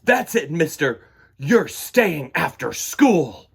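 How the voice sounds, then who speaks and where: Strict storybook teacher, done by a man: high, loud, slow and scolding. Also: very animated, vocal fry, breathy edge, exaggerated voice. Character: stern scolding schoolteacher, strict and exasperated. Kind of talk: storybook read-aloud.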